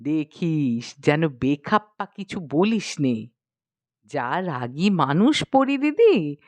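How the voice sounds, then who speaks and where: A woman's voice: deep voice, sing-song, slow pace, darkish tone, no room sound, pitched below the performer's own voice, full character voice. A woman voicing a fretful middle-aged queen mother in a storybook, chiding and nagging in a motherly way. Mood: anxious warning. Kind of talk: dramatised storybook reading.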